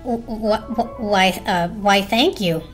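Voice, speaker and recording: A woman's voice: mid-range voice, slow pace, soft background music, lightly acted. A woman voicing a polite, flustered toaster in a storybook, speaking hesitantly with stammers. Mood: flustered politeness.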